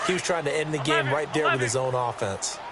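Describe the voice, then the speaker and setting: A man's voice: mid-range voice, brisk, lightly acted. A man voicing an opinionated football color analyst in a video game, explaining plays emphatically. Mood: questioning, emphatic. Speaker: color commentator. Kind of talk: sports commentary. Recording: loud stadium noise over voice.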